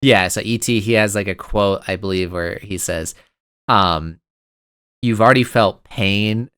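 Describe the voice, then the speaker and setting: A man in his twenties co-hosting a podcast, chatting casually in an excitable, rambling way and laughing easily. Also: lowish voice, measured pace, clean tone, no room sound, clean studio sound. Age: 20s. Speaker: podcast co-host. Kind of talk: casual chat.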